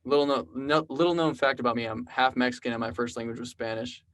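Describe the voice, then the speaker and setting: A man in his twenties from South Texas, telling stories casually as a podcast guest, relaxed and rambling with lots of "like" and "you know". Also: mid-range voice, fast talker. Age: 20s. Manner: relaxed, rambling storyteller with many fillers. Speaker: podcast guest, programmer and musician. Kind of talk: casual conversational interview.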